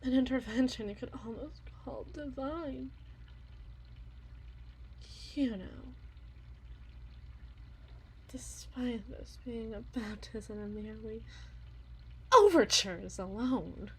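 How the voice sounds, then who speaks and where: A woman playing an obsessive, unhinged yandere wife in an ASMR roleplay monologue, theatrical and halting, with eerie, menacing tenderness and a breathy voice. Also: on the high side, a little hiss or hum, low-quality recording. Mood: eerily calm. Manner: theatrical, halting, drawn-out repetitions, swinging from hushed to intense.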